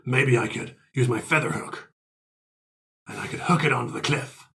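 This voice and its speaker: A man improvises as a player in a tabletop adventure. He talks casually at a middling pitch, drifting in and out of character with loose, playful banter. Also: moderate pace, vocal fry, background noise.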